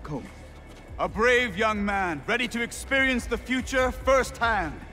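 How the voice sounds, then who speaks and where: A man's voice: extremely high pitch, expressive, measured pace, bright, forceful tone, faint music behind, full character voice. An actor playing a theatrical, polished showman illusionist with a grandiose manner in a video game. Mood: rousing, theatrical. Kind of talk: stage showman's pitch in a video game cutscene.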